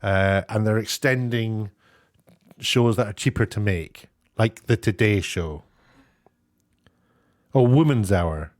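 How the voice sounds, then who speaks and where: A man giving casual, off-the-cuff commentary in a halting, rambling way, often breaking off to rephrase. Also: on the low side, no echo, clean studio sound. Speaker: online commentator, former broadcast worker.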